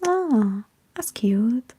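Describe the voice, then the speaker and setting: A woman whispering breathily in a halting ASMR livestream chat with her viewers. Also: fairly high pitch, animated, mellow, husky. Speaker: ASMR streamer chatting with live viewers.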